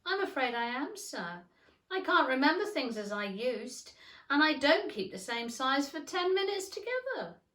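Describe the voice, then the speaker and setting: A woman's voice: sits high, sing-song, normal speed, fairly bright tone, distant mic, voice pushed up. A woman voicing a young storybook girl who is polite, earnest and a little shy and flustered. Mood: flustered, sad. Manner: earnest, polite, hesitant, lilting. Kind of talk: children's storybook character voice. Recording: roomy, echoing indoor sound.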